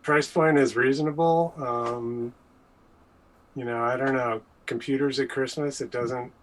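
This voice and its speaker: A man's voice: mid-range voice, steady tone, unhurried, poor audio. A man chatting casually as a panel guest. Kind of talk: casual chat.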